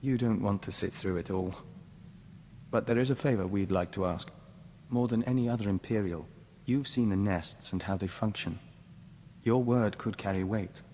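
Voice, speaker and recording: A man performing a deep-voiced envoy of a hive mind, serene and devoted. His speech is formal and measured, like a courteous mystic in a sci-fi game. The sound is very reverberant, with some noise behind it. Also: a little mellow, lightly acted. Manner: measured, formal, speaks of himself as we. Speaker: companion character in a video game. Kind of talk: scripted game dialogue.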